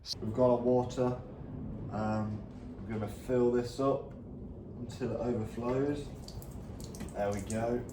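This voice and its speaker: A man with a slight cold talking through a car repair in a halting, self-correcting way as he works. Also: medium pitch, fairly even intonation, noisy background. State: has a bit of a cold. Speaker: home mechanic. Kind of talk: casual step-by-step repair commentary.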